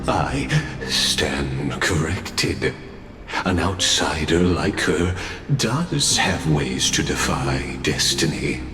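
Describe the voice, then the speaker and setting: Solemn theatrical game oracle, low voice, grand pauses, echoey with music behind. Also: male voice, animated, full character voice. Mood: measured, conceding. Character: grave, theatrical male oracle presiding over a divine trial. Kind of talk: scripted fantasy video game acting. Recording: echoey voice over background music.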